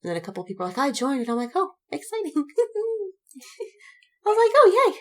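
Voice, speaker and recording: A woman podcast co-host chatting casually and telling animated anecdotes, giggly and acting out reactions as she retells them. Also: very high-pitched, moderate pace.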